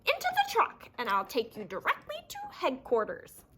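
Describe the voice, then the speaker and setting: A woman's voice: fairly high pitch, wildly swooping pitch, normal speed, bright, forceful tone, breathy edge, exaggerated voice. A woman voicing a sly, greedy male fox villain who gloats and sneers in a children's storybook. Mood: falsely official. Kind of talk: children's storybook read-aloud.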